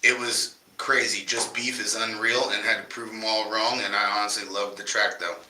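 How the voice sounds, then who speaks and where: A man with a very bright, cutting voice chats loosely and profanely as a livestream co-host, sounding a little nasal from a cold. He is recorded in an echoey room and drifts off the mic now and then. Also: lowish voice, level tone, moderate pace, distant mic, a little hiss or hum. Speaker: podcast co-host. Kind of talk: casual livestream chat. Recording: roomy echo, off-mic at times.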